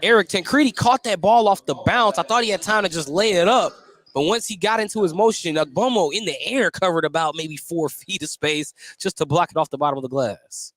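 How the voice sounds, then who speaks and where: Man calling basketball play-by-play, slightly high voice. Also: brisk, fairly bright tone, no echo. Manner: clipped, descriptive running call. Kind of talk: live radio sports commentary.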